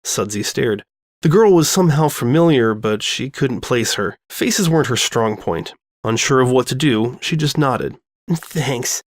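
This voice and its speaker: A man's voice: mid-range voice, lively intonation, normal speed, no room sound, studio quality. A man reading a scripted story as its narrator. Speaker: narrator reading fan fiction.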